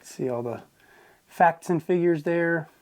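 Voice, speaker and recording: A man's voice: a little high, takes it slowly, a little hiss or hum. A man giving a casual, plain-spoken product review, explaining things informally as he goes.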